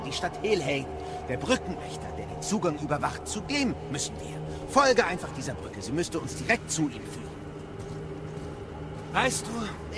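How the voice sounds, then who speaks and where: Guy doing a sardonic chatty guide, gravelly, kinda high, really lively pitch, audio drama. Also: noisy background, full character voice. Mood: explanatory, weary. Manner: wry, explanatory. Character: sardonic, talkative male companion and guide.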